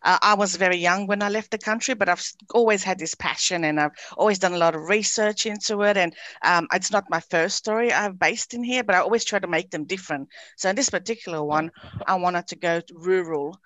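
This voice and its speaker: A woman's voice: lowish voice, fairly quick, a bit bright, dry recording. A woman from Romania, an author being interviewed on a podcast, chatting with lots of fillers and frequent laughter. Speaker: author guest.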